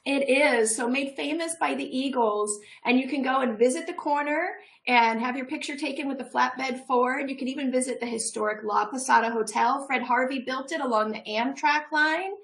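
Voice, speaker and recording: A woman's voice: high-pitched, moderate pace, hardly any pauses, a bit bright. A middle-aged woman from a tourism office, a guest on a TV show, talking upbeat and promotional over a video call from a room at home.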